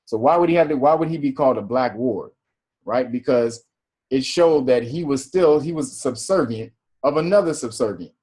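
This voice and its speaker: A man leading an informal study class in a mid-range voice. He reads definitions aloud, then riffs on them in loose slang, swearing and laughing as he goes. His delivery is relaxed and conversational. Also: medium pitch, moderate pace, breathy edge. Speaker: class teacher and host. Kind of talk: casual lecture reading definitions aloud.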